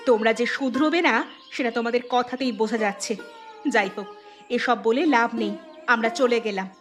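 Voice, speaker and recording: A woman's voice: on the high side, moderate pace, soft background music, lightly acted. A woman voicing a poor, aggrieved thief's wife, plaintive and emphatic, in a cartoon drama. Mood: resolute. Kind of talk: Bengali cartoon voice acting.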